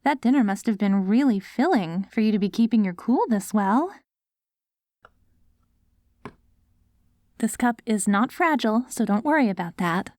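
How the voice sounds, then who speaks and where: A woman playing an affectionate post-apocalyptic wife in an ASMR audio roleplay, coaxing and gently praising her ghoul husband. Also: mid-range voice, animated, a little fast, dry recording, clean studio sound, natural voice. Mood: gentle, coaxing.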